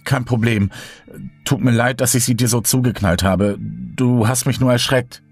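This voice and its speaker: A man's voice: mid-range voice, steady tone, moderate pace, gravelly, husky, dry recording, soft background music, pitched above the performer's own voice. A man voicing a nervous, hesitant young grandson in a horror story, stammering and apologetic.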